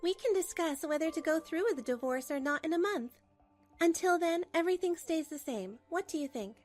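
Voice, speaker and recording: Woman doing a hurt but firm wife telling her story, manga dub style, high voice, light music behind. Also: expressive, brisk, a little mellow. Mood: firm, controlled. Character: wronged older wife narrating her marriage troubles, pragmatic, firm. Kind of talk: dramatic first-person narration for a manga dub.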